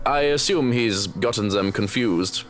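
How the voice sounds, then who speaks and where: A man's voice: fairly low pitch, unhurried, lightly acted. Man acting a firm German chef. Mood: firm, polite. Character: firm, proprietary German chef in his kitchen. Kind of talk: visual novel voice acting. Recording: music behind the voice.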